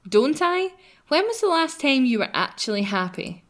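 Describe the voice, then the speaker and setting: A woman playing a gentle yet cheeky young heroine in a visual novel, earnest and quietly defiant. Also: high voice, sing-song, moderate pace. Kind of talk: acted reading of visual novel dialogue.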